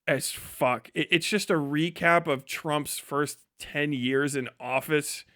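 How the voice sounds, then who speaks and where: A man with a clear, slightly high voice, recorded close and dry. He rambles like a livestreamer talking off the cuff, sardonic and exasperated, with profanity all through his speech. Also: fairly even intonation, slow, sharp tone, no room sound. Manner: heavily profane. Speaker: livestreamer and video creator giving a work update. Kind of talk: casual rambling livestream chat.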